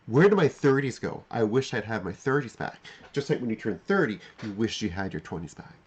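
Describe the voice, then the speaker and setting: A man in his forties thinks out loud on a livestream. His pitch moves up and down a lot, and his voice has a husky edge. He speaks in halting, emphatic bursts, circling back to rephrase what he just said. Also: very animated, lo-fi sound. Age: 40s. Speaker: livestream host. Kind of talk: unscripted reflective monologue to live chat.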